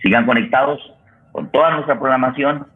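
A Colombian man hosting a radio theatre programme, making halting, unscripted announcements and repeating phrases as he searches for words. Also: mid-range voice, slight background noise. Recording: muffled, phone-like radio connection.

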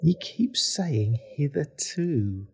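A man's voice: fairly high pitch, big pitch swings, drawn-out words, vocal fry, slightly rough, heavily acted. A man playing a hapless, oversharing political staffer, hesitant and glum, in a comedy drama. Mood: earnest, meek. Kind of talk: comedy audio drama dialogue.

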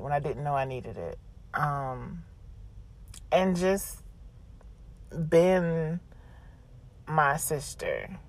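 A woman podcast host chatting casually and confessionally, blunt, slangy and freely swearing. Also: sits low.

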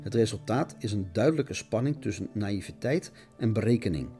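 A man's voice: lowish voice, animated, normal speed, mellow, faint music behind. A man narrating a scripted radio essay in a melodramatic, mock-dramatic, declamatory manner.

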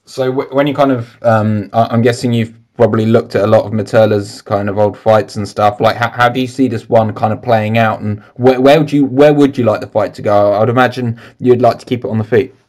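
A man hosts a casual podcast interview, asking questions in a loose, hedging way and repeating words as he goes. His delivery stays flat and level, with little rise or fall in pitch. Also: brisk, few pauses. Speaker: podcast host.

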